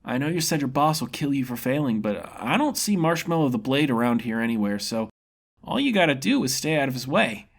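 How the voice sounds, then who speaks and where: Man as upbeat young fantasy swordsman, raised pitch, casual slang, innocent and forgiving, storybook hero. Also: big pitch swings, fairly quick. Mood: earnest, friendly.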